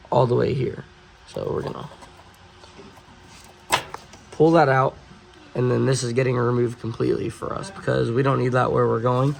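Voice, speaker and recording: Hoarse, gravelly man hosting a car channel. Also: mid-range voice, a little hiss or hum. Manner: relaxed, chatty, with frequent filler pauses. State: hoarse, losing his voice. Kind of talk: casual how-to narration. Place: auto shop garage.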